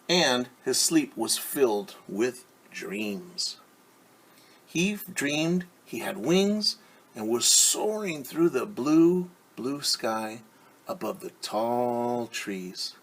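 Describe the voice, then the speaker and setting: Husky, slow-paced man reading to children. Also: medium pitch, lively intonation. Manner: gentle, patient, asks questions. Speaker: teacher reading a story. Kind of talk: read-aloud storytelling with explanations for young children.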